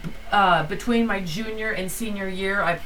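A woman's voice: mid-range voice, moderate pace, fairly bright tone. Rambling woman in her forties, a bit distant. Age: 40s. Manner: rambling, swears casually. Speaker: podcast co-host, mother. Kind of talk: casual chat. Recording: slightly distant mic, faint background noise.

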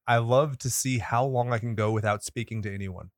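A man's voice: medium pitch, fairly even intonation, fairly quick, dry recording, clean studio sound. A man hosting a horror movie podcast in casual, crude, joking chat, with sudden theatrical bursts. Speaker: podcast host. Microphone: studio mic in a booth.

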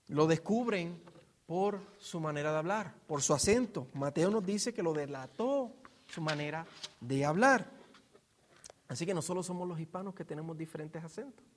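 A man with a Puerto Rican accent preaching in an informal, storytelling way, explaining scripture and asking rhetorical questions. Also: high-pitched. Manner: informal, acts out quotes and asks rhetorical questions. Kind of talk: expository sermon, conversational storytelling.

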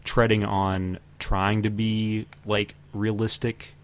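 Low-pitched guy on a podcast, casual movie talk, kinda feeling out his words. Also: level tone, slow, clean tone. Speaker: podcast co-host. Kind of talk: casual film discussion.

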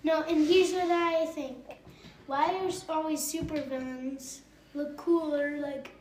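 A woman's voice: high-pitched, steady tone, measured pace, a little mellow, roomy sound, a little hiss or hum. A young woman in a family chat, playful and jokey, tossing in asides.

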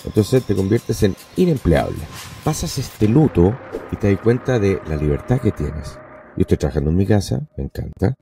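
A man, a podcast guest telling his story in an emphatic, animated way. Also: low-pitched, gravelly. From Venezuela. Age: 50s. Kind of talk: casual interview talk.

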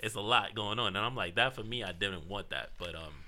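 A man's voice: fairly low pitch, brisk, sharp tone, a little hiss or hum. A man talking casually as a panelist in a group chat. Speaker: panelist on a talk show.

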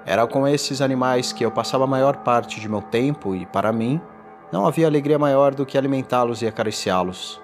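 A man playing a tormented condemned man in a gothic horror audio drama, confessing in a formal, theatrical and deliberate delivery. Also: medium pitch, lightly acted. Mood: wistful, grave. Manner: theatrical, deliberate, ornate diction. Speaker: narrator. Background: music.

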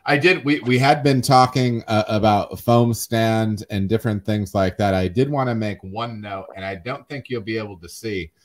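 Chatty low-voiced beer podcast host. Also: male voice, normal speed, few pauses. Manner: chatty, repeats words while thinking aloud. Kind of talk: casual chat.

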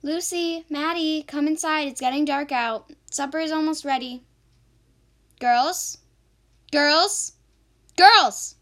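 A high-pitched, anxious mother in a homemade radio play, acted by a female performer. She speaks with open worry about her children. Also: slow pace, long pauses, a bit bright. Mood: calling, then urgent calling. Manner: calling out, anxious. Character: worried mother calling her missing girls home.

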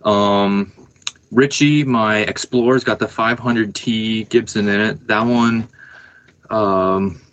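A man hosting a podcast in casual chat, informal and a little halting as he works through details. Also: on the low side, fairly even intonation, a bit bright, lo-fi sound. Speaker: podcast host.

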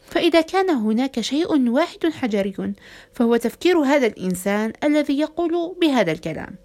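A woman hosting a podcast, addressing listeners in formal Arabic with a measured, rhetorical delivery and a slightly hoarse voice. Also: sits high, expressive, darkish tone. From Algeria. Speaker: podcast host.

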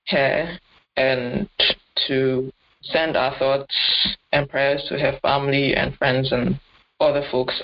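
Casual podcast co-host, chatty and halting, mid pitch, muffled lo-fi sound. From not Nigerian. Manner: chatty, hesitant, self-correcting. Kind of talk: casual sports chat. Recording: dull lo-fi audio.

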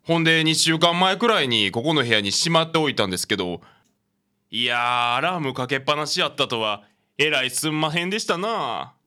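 A man's voice: on the high side, very animated, a little fast, sharp tone. A man playing an older, chatty apartment landlord with a Kansai accent in a radio drama. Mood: sheepish. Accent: Kansai Japanese. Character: old male apartment landlord, a chatty grandfather.

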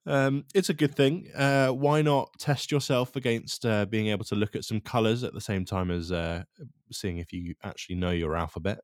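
A man's voice: lowish voice, moderate pace, no echo. A man co-hosting a podcast in casual chat, hesitant and full of ums, with dry humour. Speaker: podcast co-host.

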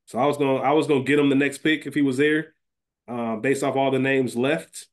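A man's voice: mid-range voice, fairly even intonation, fairly quick. A man co-hosting a podcast in casual, profane banter, thinking aloud and repeating himself as he weighs his choices. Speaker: podcast co-host. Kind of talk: casual sports-draft style banter about a TV series.

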